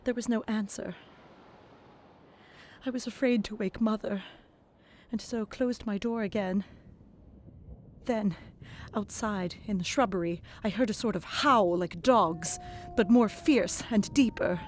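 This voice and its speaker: A woman narrating a gothic novel in the first person, expressive and dramatic, dropping to whispers in the hushed passages. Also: mid-range voice, a little slow, slight background noise.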